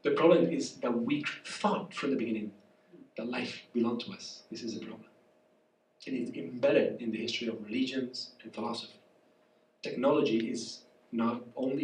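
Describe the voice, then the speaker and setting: A man with a Spanish accent, congested and soft-voiced, speaking haltingly as a guest professor in a public conversation. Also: mid-range voice, slight background noise. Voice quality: soft, weak voice. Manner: halting, searching for words, apologetic. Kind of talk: public conversation, reflective lecture talk. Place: café. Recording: echoey room from far off.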